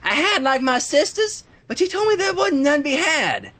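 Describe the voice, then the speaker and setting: An excitable young country filly from a cartoon, put on by a story reader. The voice is high and folksy, jumping around in pitch. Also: normal speed, heavily acted. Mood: surprised, grateful.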